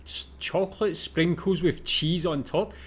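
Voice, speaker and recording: Higher-voiced Scottish man reacting casually. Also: fairly even intonation, a little slow, soft background music. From Scotland. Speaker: reaction video host. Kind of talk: casual off-the-cuff reaction commentary.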